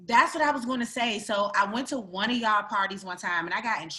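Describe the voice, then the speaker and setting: A woman born in Greece chatting casually on a podcast, animated, laughing often and swearing freely. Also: mid-range voice, fast talker.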